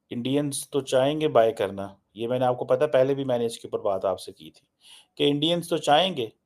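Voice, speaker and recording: A man's voice: medium pitch, darkish tone. A man giving informal political commentary, blunt and repetitive, slipping into English phrases.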